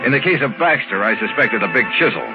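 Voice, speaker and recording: A man's voice: mid-range voice, animated, fast talker, lightly acted. A man acting a skeptical, hard-boiled insurance investigator in a 1950s American radio drama, sardonic and slangy. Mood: dry narration. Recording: muffled vintage radio recording with music.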